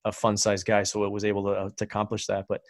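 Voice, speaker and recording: Low-voiced podcast host, dry sound. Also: male voice, monotone, dry recording. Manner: friendly, filler-heavy, halting. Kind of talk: casual interview hosting.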